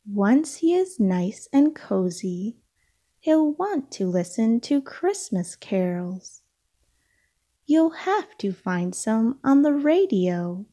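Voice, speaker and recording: Very slow, lilting woman storybook reader. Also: on the high side, very animated, warm tone. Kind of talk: read-aloud storybook narration.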